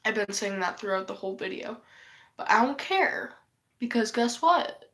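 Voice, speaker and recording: A woman's voice: medium pitch, lively intonation, moderate pace, a bit bright. A woman who is losing her voice from a cold, rambling in a casual vlog, sighing often and swearing offhandedly. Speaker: vlogger.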